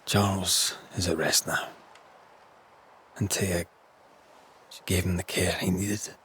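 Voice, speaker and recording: Casting: loyal, kind-hearted male ghost hunter, game drama, lowish earnest voice, faint ambient noise. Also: fairly even intonation, slow, pushed and bright, full character voice. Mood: gentle, subdued. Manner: earnest, plain-spoken. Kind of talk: dramatic video game acting.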